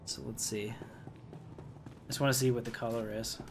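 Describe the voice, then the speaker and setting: A man's voice: medium pitch, little pitch movement, unhurried, background noise. A man giving casual, chatty gameplay commentary, thinking aloud to his viewers as he plays. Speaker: gamer hosting a playthrough.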